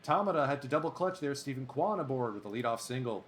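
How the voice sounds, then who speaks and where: A man doing play-by-play commentary over a baseball sim game, excitable and chatty, drifting into asides. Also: medium pitch, fast. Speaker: let's play host.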